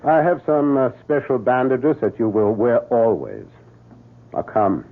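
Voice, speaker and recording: Insistent German surgeon, animated delivery, husky voice, theatrical, radio play, narrow vintage sound. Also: male voice, medium pitch, measured pace. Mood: solemn, confiding. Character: kindly, insistent German surgeon, fatherly and secretive. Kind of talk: old-time radio drama acting.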